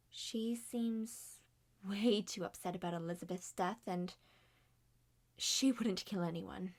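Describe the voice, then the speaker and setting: A woman voicing a level-headed young male detective in an amateur roleplay drama, dry, deliberate and matter-of-fact. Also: medium pitch.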